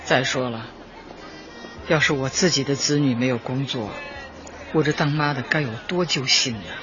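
A woman's voice: very deep voice, moderate pace. An actress playing a devoted, kindly older woman who serves as a community secretary, earnest and patient, in a radio drama. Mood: earnest, caring. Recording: dull band-limited radio drama, music behind.

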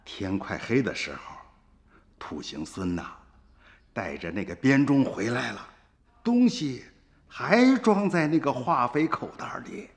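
A man acting a stern, anguished older uncle in a radio drama, speaking emphatically with drawn-out emotion. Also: mid-range voice, very animated. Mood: grave recounting. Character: stern, anguished older uncle scolding and narrating past events. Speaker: storyteller character in radio drama. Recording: long studio reverb, music underneath.

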